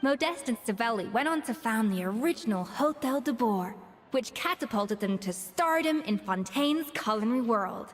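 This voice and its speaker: A woman's voice: on the high side, expressive, moderate pace, big-room sound, soft background music, natural voice. A woman voicing a composed female head chef who speaks in a matter-of-fact, dryly dismissive way in a game.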